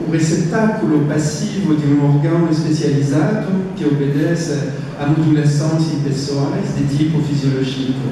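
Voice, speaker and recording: Older French-accented male lecturer, fairly high voice, deliberate and repetitive, noisy distant hall recording. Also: dark tone. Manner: slow, deliberate, with repetitions and self-corrections. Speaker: guest lecturer. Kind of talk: academic lecture. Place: large university lecture hall. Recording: echoey hall from far off, noisy.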